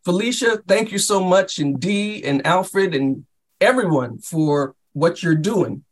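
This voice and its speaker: A man's voice: sits high, expressive, a little slow. A man speaking as a radio historian guest, eager and respectful. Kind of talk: panel discussion.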